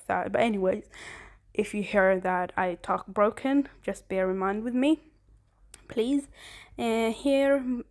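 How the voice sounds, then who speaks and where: A woman whose first language is Somali, in her thirties, chatting casually through her travel vlog with many fillers and restarts. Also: mid-range voice. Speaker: vlogger.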